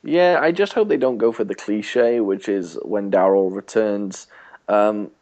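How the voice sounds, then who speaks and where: A man co-hosting a casual podcast, rambling with halting restarts and chuckles. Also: lowish voice, darkish tone. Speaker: podcast co-host. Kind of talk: casual chat about a TV show. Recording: dull lo-fi.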